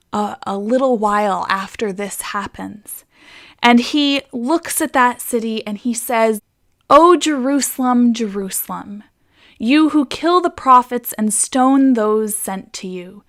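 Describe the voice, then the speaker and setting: A woman presenting a gentle, explanatory devotional talk for children. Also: fairly high pitch. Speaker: children's story presenter at church.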